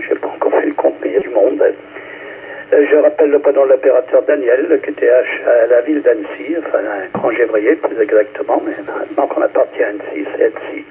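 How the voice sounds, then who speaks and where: A man amateur radio operator giving a casual check-in and his call sign. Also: medium pitch. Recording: narrow radio link with faint noise.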